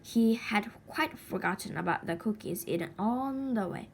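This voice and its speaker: A woman reading a children's story aloud expressively, with deliberate dramatic pauses and stretched words. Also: medium pitch, lively intonation, creaky. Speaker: storybook reader. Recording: faint background noise.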